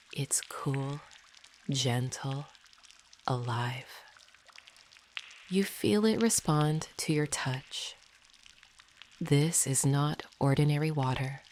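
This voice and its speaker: A woman guides a meditation in a soothing, hushed, often near-whispered delivery. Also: a bass voice, a little hiss or hum. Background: dripping, pouring water sounds.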